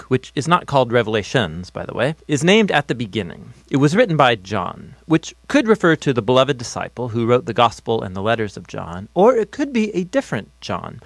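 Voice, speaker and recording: A man's voice: mid-range voice, no echo. A man reading scripted explainer narration in an articulate, instructive way, as a studio voice-over.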